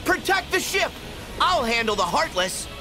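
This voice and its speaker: A man voicing an earnest, upbeat teenage boy hero with energetic delivery. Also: very high-pitched, moderate pace, over loud music, heavily acted. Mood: eager.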